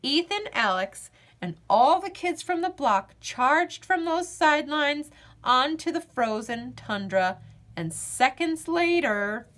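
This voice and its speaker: A woman reading a children's picture book aloud, her voice slightly high and full of lively rises and falls. She takes her time, pacing each line slowly for young listeners. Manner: expressive, storytelling. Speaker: children's story reader.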